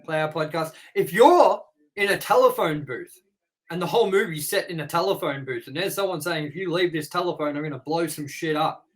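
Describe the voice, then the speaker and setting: Man, young adult, podcast host, crude jokes, swears a lot, slightly high voice. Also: fairly quick. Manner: crude, joking banter with frequent swearing. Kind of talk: casual co-hosted review podcast.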